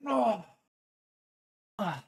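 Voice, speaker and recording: A woman's voice: mid-range voice, very animated, drawn-out words, gravelly, breathy edge, dry recording. A woman streamer reading a visual novel aloud in a theatrical storytelling manner.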